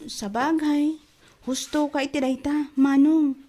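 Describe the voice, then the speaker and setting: Casting: supportive teenage little sister played by a woman, high girlish voice, encouraging, radio drama. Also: steady tone, slow pace, dark tone. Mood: reassuring. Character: sweet, supportive teenage younger sister, a student. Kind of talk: radio drama acting in Ilocano.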